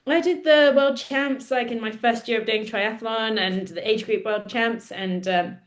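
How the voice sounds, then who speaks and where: Woman in her thirties, podcast guest, chatty, self-deprecating, quick laughs. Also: fairly high pitch. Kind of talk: casual interview chat.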